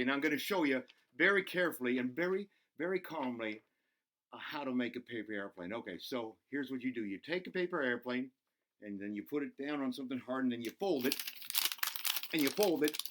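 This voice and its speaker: A man voicing an excitable, goofy buzzard who hosts a children's show, playful and giggly as he talks to himself and to the kids. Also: mid-range voice, brisk, a little hiss or hum, natural voice. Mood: chirpy, eager. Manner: giggly, self-interrupting, talks to himself in third person. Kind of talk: playful craft demonstration for children.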